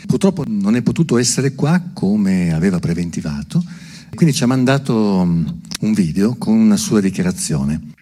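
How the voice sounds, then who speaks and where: A man's voice: fairly low pitch, very animated, normal speed, mellow, husky. A man presenting at a public event, giving an informal spoken introduction.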